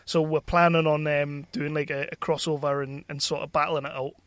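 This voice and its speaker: A man's voice: on the high side, little pitch movement, fairly quick, a bit bright, dry recording. A man talking casually as the co-host of a podcast. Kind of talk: casual chat.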